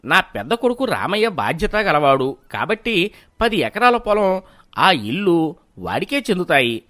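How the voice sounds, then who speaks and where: A man's voice: high voice, very animated, unhurried, pushed and bright, no room sound, studio quality, full character voice. A man playing an elder brother and henpecked husband in a moral story, talking in a placating way. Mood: falsely solemn.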